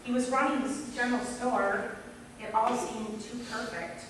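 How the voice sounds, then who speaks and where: A woman in an amateur stage play playing a wistful, regretful middle-aged aunt, speaking haltingly and earnestly. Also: a little high, steady tone, lightly acted. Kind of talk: amateur one-act stage play acting. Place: stage in a hall, indoors. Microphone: distant audience camera. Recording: echoey hall from far off, noisy.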